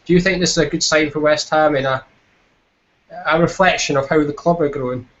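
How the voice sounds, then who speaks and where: A man's voice: fairly high pitch, steady tone, a little fast, fairly bright tone. A Scottish man casually hosting a football fan chat show in a self-deprecating, jokey way. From Scotland. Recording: dull lo-fi voice chat.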